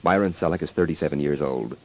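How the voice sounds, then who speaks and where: American male, very low pitch, terse suspect read-out for vintage radio crime show. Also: fast, darkish tone.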